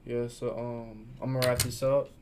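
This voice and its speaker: Man, podcast host, relaxed, brief replies, mid pitch, light background noise. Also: normal speed. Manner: laid-back, brief replies. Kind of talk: casual interview chat.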